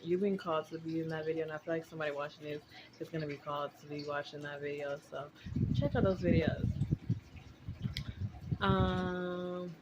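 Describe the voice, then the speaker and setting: A woman in her twenties chatting casually and candidly to her viewers, rambling in a conversational vlog style. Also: sits low. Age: 20s. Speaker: vlogger. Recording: very noisy recording with clicks.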